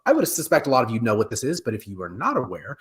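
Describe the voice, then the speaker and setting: A man with a mid-pitched voice chats as co-host of a casual online show. He is jokey and animated, starting and restarting his sentences as he goes. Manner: jokey, animated, halting with self-interruptions. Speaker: co-host of a pop culture live show. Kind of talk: casual panel chat. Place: home room, indoors, video call.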